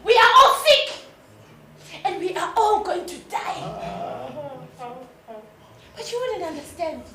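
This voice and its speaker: A woman's voice: very high voice, expressive, slow pace, sharp tone, slight background noise, full character voice. A Zimbabwean woman playing a bitter, wronged village wife in a declamatory, emotional stage drama. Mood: bitter accusation. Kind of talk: theatrical stage acting. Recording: roomy echoing stage recording.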